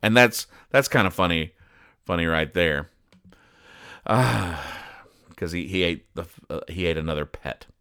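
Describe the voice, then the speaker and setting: This is a man with a fairly low, forceful and clean-sounding voice. He delivers comedic commentary as a podcast host. His manner is deadpan and mock-serious, with halting asides as he explains. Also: smooth. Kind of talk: deadpan comedic commentary, recapping comic strips.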